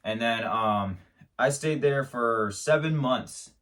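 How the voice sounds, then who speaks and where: A man's voice: medium pitch, takes it slowly, a bit bright, recorded from a distance. A man hosting his own show, telling an absurd comic story in a rambling, mock-serious way, stumbling over restarts. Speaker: show host. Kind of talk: absurd comedic monologue.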